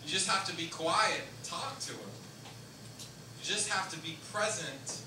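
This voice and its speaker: A man's voice: high-pitched, a little slow. A man reciting slam poetry to a live room. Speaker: slam poet. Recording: very echoey, distant mic, faint noise.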